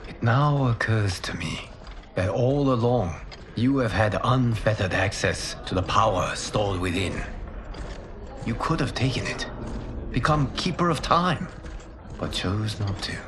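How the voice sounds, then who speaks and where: An actor playing a noble, gentle god and protector in a video game, speaking in a formal, earnest, courteous way. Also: male voice, on the low side, expressive, measured pace, noisy background. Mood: questioning.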